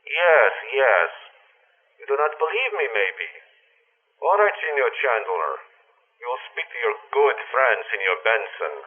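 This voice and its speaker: An actor playing a menacing male bandit leader, making slow, polite threats in a 1940s radio drama style. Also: medium pitch, big pitch swings. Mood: mocking. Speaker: villain. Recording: tinny radio-transmission sound.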